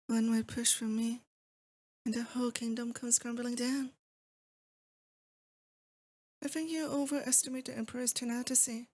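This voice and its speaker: A lovesick demon lord , acted by a woman, speaks in a high, intimate voice. She is doting and possessive, with sweet menace under every word. The delivery is theatrical romantic ASMR roleplay, close and confiding. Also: a little mellow, natural voice. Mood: cold, commanding.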